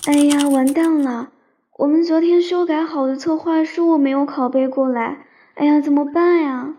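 A woman playing a young, romantic, dreamy student in a radio drama, telling her love story in a soft, sentimental, unhurried way. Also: sits high, steady tone, close to an everyday voice. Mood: flustered. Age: young adult. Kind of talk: radio drama narration and dialogue. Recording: dull lo-fi recording with piano music. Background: piano music.

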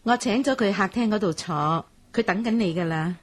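A woman's voice: medium pitch, normal speed, lightly acted. An actress playing an obliging, chatty landlady in a radio drama, speaking with a Hong Kong Cantonese accent.

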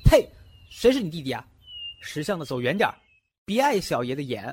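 A performer playing a cocky, playful young male fox spirit in a Chinese costume audio drama, brash and expressive. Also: medium pitch, fairly quick, slight background noise, close to an everyday voice. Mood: defiant. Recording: loud music bed behind voice.

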